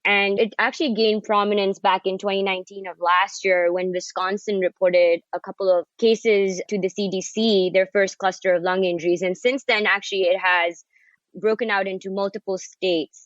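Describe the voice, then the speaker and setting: A woman in her thirties from Pakistan, a cardiology fellow, explaining a medical condition in a measured and informative way. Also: mid-range voice, no room sound, highs cut off. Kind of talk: podcast conversation, explaining a condition.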